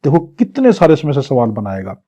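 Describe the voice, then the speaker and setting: A man's voice: medium pitch, huge pitch swings, a little fast, mellow. A man with a Pakistani accent teaching an exam-prep law lecture, explaining step by step and posing questions that he then answers. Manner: didactic, poses questions and answers them.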